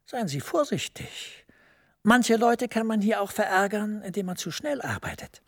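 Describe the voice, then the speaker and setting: A gravelly, persuasive EU director. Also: male voice, high-pitched, expressive. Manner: smooth, measured, persuasive. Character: smooth senior EU director, middle-aged man, persuasive. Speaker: EU official.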